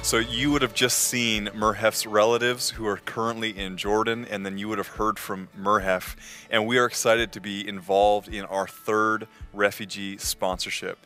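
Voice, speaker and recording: A man's voice: lowish voice, flat delivery, normal speed, pushed and bright, soft background music. A young man on church staff addressing the congregation with an upbeat, direct appeal, recorded in a church sanctuary on a headset mic. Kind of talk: church announcement.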